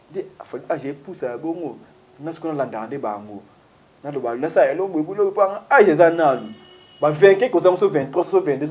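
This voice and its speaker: A man chatting as a guest, rambling and animated with lots of fillers, switching into Lingala. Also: fairly high pitch. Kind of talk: casual chat mixing French and Lingala.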